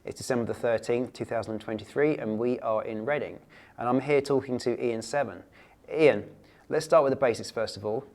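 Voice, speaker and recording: A man's voice: medium pitch, brisk, gravelly, a little mellow. A man interviewing a former employee for a museum history project, asking friendly prompting questions.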